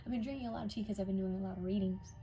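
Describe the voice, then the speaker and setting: A young woman giving a casual pick-a-card tarot reading to her viewers, her voice a little raspy from many readings, now and then dropping to a whisper as she reads the cards. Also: medium pitch, fairly even intonation, racing, warm tone, soft background music. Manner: intuitive, addresses viewers directly, drops to whispers while reading cards.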